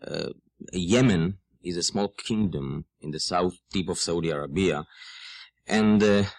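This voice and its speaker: Deep-voiced Israeli man, halting speech. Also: dry recording. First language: Hebrew. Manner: halting, searches for words, explanatory. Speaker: guest expert on folk music. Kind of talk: radio interview conversation.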